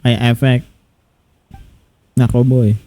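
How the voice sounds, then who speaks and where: A man's voice: medium pitch, little pitch movement, takes it slowly, dark tone. A man from Indonesia giving casual, offhand commentary as he streams a story game. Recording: voice over game music.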